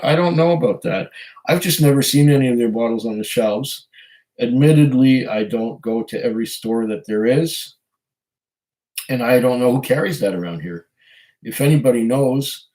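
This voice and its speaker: A man with a hoarse voice from a cold, hosting a casual live stream, reading viewer comments and chatting in a rambling, hesitant way. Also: medium pitch, mellow, low-quality recording. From Canada. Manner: rambling, hesitant, chuckles often. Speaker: whiskey channel host reading live chat.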